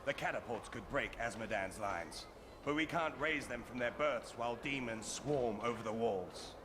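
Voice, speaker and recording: An actor playing a defiant fortress soldier in a video game, speaking with urgent, earnest conviction. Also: male voice, medium pitch, moderate pace, lightly acted. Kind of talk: video game character acting. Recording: loud game battle ambience. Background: loud ambient noise.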